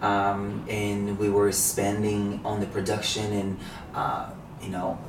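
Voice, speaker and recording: A man from Brazil, a podcast guest in his twenties telling his story in a relaxed way with many hesitations. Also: on the low side, slow pace. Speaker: podcast guest, meditation teacher. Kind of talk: casual interview. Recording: echoey, distant mic, faint noise.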